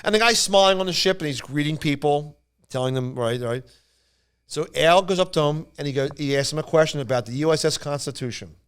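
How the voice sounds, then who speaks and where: A man casually telling anecdotes on a podcast in a rambling, animated way, acting out what people said. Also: fairly high pitch, a little fast, clean tone. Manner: rambling, animated retelling with acted-out quotes. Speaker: podcast storyteller.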